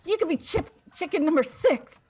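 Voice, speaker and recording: A woman's voice: very high-pitched, animated, normal speed, a little mellow. A woman voicing a haughty young woman from a video game, scornful and theatrical, gushing over her master. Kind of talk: amateur voice acting of a video game.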